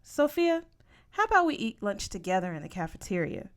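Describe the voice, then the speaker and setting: A woman's voice: medium pitch, very animated, brisk, natural voice. Kind, upbeat lady PE coach acted by a woman. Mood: friendly, coaxing. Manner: friendly, coaxing.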